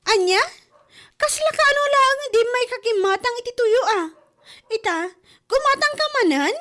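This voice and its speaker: A woman's voice: extremely high pitch, animated, moderate pace, bright, forceful tone, full character voice. An actress playing a bossy, teasing woman in a comedy drama, speaking with an Ilocano accent.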